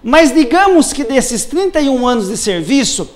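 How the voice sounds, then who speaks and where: High-voiced man explaining pension law. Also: big pitch swings, normal speed. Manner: methodical, lays out cases step by step. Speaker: social security lawyer. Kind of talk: explaining pension rules on live TV.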